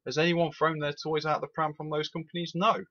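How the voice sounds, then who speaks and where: Ranting young man, bright edge. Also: on the high side, brisk, dull audio. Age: 20s. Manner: animated, emphatic, repeats itself. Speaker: rail sim reviewer. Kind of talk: opinionated vlog rant.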